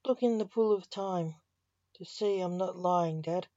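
A woman's voice: fairly low pitch, a little slow, dark tone, natural voice. A woman voicing a solemn, earnest son who is a seer, in a story. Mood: insistent. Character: solemn, frustrated mind-reading son and seer. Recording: roomy echo, slightly dull sound.

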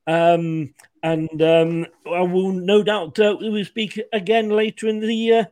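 A man's voice: sits high, flat delivery, unhurried, a little mellow, no echo. A man hosting a football fan live stream, rambling and laughing casually while losing his voice. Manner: laughing, rambling, repeats himself. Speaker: host.

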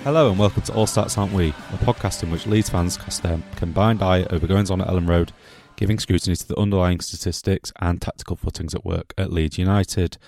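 A man's voice: deep voice, fairly even intonation, a little fast, creaky, few pauses, a little mellow, faint music behind. A man hosting a football podcast, chatting in a rambling, jokey way, with a cough this week. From England. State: has a cough. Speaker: podcast host. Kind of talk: casual football podcast chat.

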